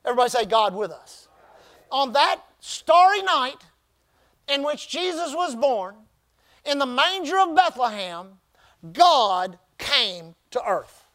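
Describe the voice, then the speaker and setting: A man's voice: very high voice, very animated, a bit bright. A man preaching a sermon as pastor, drawing out key phrases emphatically and prompting the congregation to repeat words back. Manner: call-and-response preaching, emphatic drawn-out phrases.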